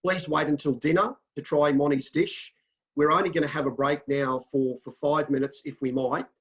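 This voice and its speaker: A man's voice: fairly high pitch, fairly even intonation. A man hosting an online event over a video call who speaks politely and courteously, with small hesitations. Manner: polite, courteous, slightly halting. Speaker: event host. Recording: dull lo-fi sound.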